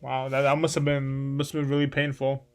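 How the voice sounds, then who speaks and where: Male podcast host, clear tone, asks in halting bursts. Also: medium pitch, monotone, darkish tone. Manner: hesitant, restarts questions, short reactions. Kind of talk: interviewing a guest.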